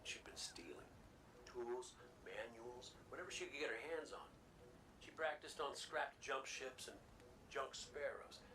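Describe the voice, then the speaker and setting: A man's voice: medium pitch, lively intonation, measured pace, close to an everyday voice. Male actor as sentimental wisecracking robot gunfighter, game dialogue, conversational and wry, noisy distant audio. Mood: fond, reminiscing. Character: wisecracking male robot gunslinger, gruff but sentimental, revived from death. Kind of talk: video game voice acting.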